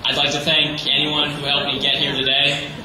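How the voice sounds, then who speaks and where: A teenage boy giving an earnest, slightly halting graduation speech as salutatorian. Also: fairly high pitch, completely flat delivery. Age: teens. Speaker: graduating student. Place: large hall or gym. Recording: echoey hall, far from the mic, noisy.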